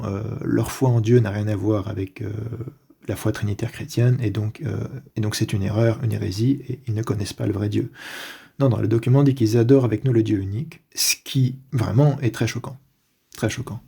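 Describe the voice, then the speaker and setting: Gravelly, dark-voiced man lecturing. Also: lowish voice, steady tone. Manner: deliberate, unscripted, with frequent fillers and restarts. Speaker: religious commentator. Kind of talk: lecture commenting on a church document.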